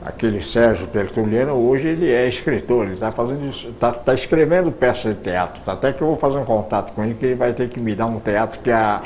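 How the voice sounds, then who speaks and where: A man's voice: mid-range voice, quick pace, few pauses, a little mellow, slight background noise. An older man with a Brazilian accent answering informally as an interview guest, rambling and often repeating his words. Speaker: interview guest, radio broadcaster.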